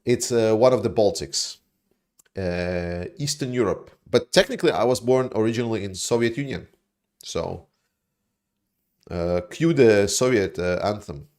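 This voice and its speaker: A man's voice: lowish voice, measured pace. Rambling man on a livestream. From Latvia. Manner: rambling, animated, swears, mocks opponents in put-on voices. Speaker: livestream host reading viewer chat. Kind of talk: casual livestream chat.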